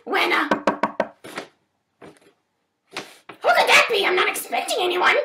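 A woman voicing an excitable, childlike robot dinosaur puppet, exclamatory and flustered, in a children's storytime. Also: very high-pitched, slow, noisy background, pitched above the performer's own voice, heavily acted. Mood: hesitant, agreeable. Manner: exclamatory, dramatic. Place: room at home. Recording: roomy, distant home webcam sound.

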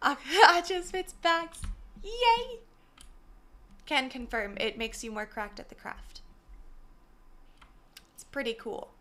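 A young woman in her twenties chatting casually on a gaming live stream, her voice slightly hoarse and worn, talking from a small room into a mic set far from her with the gain turned up. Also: sits high, takes it slowly, pauses often, bright, forceful tone. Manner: chatty, apologetic, greets viewers by name. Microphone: desk mic set far from her, gain turned up.

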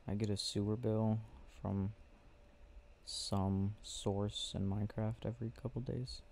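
A man with a low, mellow voice murmurs softly as if playing a sleepy boyfriend in an ASMR roleplay. His delivery is slow and hushed, with faint noise and clicking behind him. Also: level tone, gravelly. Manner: hushed, drowsy murmuring, unhurried pauses. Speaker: ASMR roleplay audio creator. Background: game clicking sounds.

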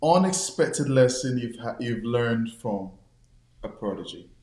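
A man interviewing a pastor, asking measured questions and affirming with short replies. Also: mid-range voice, expressive, a little mellow, roomy sound. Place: studio set, indoors.

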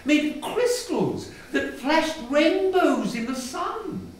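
A man's voice: very high-pitched, big pitch swings, slow, voice pushed up, full character voice. An older man voicing a timid, hopeful young child who speaks hesitantly and wistfully in a storybook reading. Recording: echoey hall from far off, some noise.

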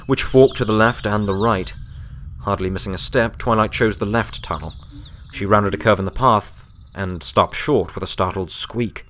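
A man's voice: fairly low pitch, fairly bright tone, a little hiss or hum. A man reading scripted fiction as a story narrator.

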